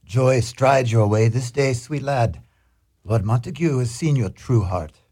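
An actor playing a joyful, solemn friar in a comic Shakespearean radio drama, speaking in a declamatory, ceremonious way. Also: male voice, medium pitch, unhurried, warm tone, breathy edge. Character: joyful, solemn friar blessing young lovers.

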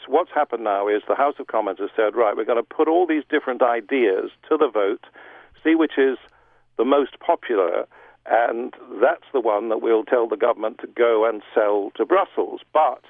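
Man, middle-aged, gravelly, dry phone-line sound, measured and explanatory. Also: medium pitch, no echo. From England. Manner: measured explainer, frequent ums and restarts. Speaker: guest broadcaster. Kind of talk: radio interview over the phone.

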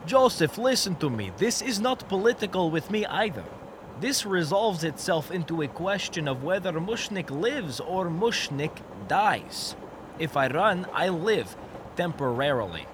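A man's voice: sits high, big pitch swings, unhurried, noisy background. A young man acting a wry Soviet runaway in a radio comedy, theatrical and speaking of himself in the third person. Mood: urgent. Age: young adult. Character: wry runaway Soviet comrade Mushnik, dreaming of being American.

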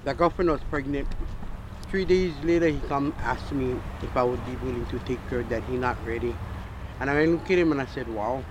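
A woman's voice: extremely low pitch, a little fast, noisy background. A woman community leader with a Hawaii Pidgin accent, telling a story with easy humor outdoors.

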